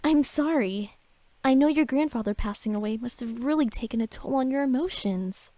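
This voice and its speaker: A woman voicing a popular high school girl in a visual novel, a composed, sweetly placating rival. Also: moderate pace, warm tone, no echo, lightly acted. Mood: sympathetic. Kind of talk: visual novel character acting.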